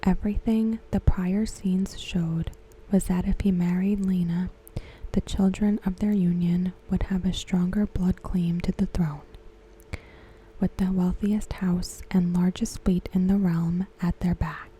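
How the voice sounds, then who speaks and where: A woman giving ASMR commentary in a soft, breathy whisper, hushed and measured. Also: fairly low pitch, fairly even intonation, poor audio. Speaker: ASMR host reviewing a TV episode. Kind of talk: ASMR commentary, softly spoken.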